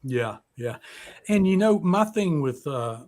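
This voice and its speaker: A man's voice: fairly high pitch, sing-song, slow, darkish tone. A man hosting a casual music talk show, rambling and hesitating as he goes. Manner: rambling, hesitant, filler-heavy phrasing. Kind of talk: casual, unscripted chat.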